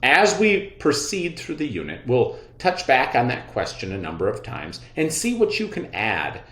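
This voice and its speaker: Male schoolteacher for kids' read-aloud lesson. Very lively intonation, expressive delivery, echoey room sound. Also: mid-range voice, normal speed. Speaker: elementary teacher.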